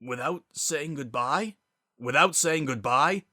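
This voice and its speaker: A protective pony father from a storybook drama, voiced by a man. He is plain-spoken and caring, with a bright voice recorded very dry. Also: a little high, slow pace, no room sound, voice pushed up, heavily acted. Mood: upset, disbelieving.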